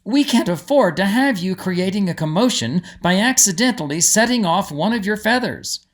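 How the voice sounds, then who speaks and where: A man voicing a firm mother bird who calms and cautions in a measured way, in a storybook reading. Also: high voice, pitched above the performer's own voice. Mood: admonishing. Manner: measured admonishing.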